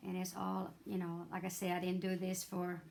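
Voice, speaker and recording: A hoarse middle-aged woman, chatting her way through a craft tutorial with many fillers and restarts. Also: on the low side, completely flat delivery, moderate pace, a little mellow. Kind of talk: casual step-by-step craft demonstration.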